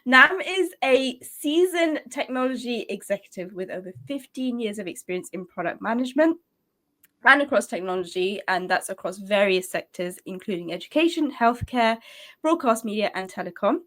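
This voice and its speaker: A woman's voice: on the high side, expressive, moderate pace, a bit bright. A woman hosting a podcast, reading a guest introduction and chatting with her guest. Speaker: podcast host. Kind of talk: podcast interview.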